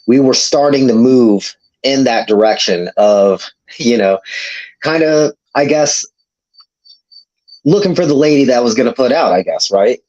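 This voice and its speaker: A man's voice: medium pitch, a little slow, long pauses, a little mellow. Thirtysomething guy rambling on a muffled call, lots of you know. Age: 30s. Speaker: panel guest. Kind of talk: casual discussion. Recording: dull call audio.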